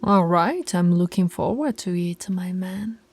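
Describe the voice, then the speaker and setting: Woman playing a slow, gravelly werewolf alpha. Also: fairly low pitch, a little mellow, faint music behind. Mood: teasing. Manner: teasing, commanding, slips into whispers. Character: dominant female werewolf pack alpha, teasing and bossy. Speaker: voice actress. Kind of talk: ASMR audio roleplay.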